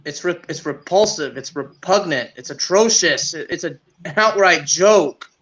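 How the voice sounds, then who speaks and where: A man co-hosting a sports podcast in casual chat, haltingly repeating words as he goes. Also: a little high, a bit bright.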